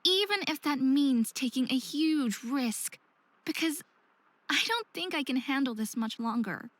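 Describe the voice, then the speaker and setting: Casting: a desperate, earnest young half-faerie for a narrative game; high-pitched, dry close sound. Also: female voice, expressive, a little slow, a bit bright, no room sound. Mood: anguished. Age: young adult. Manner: earnest, emotional delivery. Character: troubled young hybrid faerie woman, self-hating, desperate, earnest. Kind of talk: visual novel voice acting.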